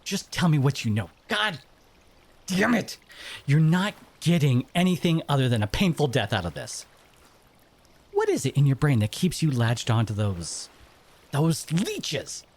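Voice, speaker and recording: Bitter merfolk exile played by a man, stern and accusing interrogator, very expressive pitch, slightly husky, audio drama. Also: measured pace, full character voice. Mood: furious, appalled.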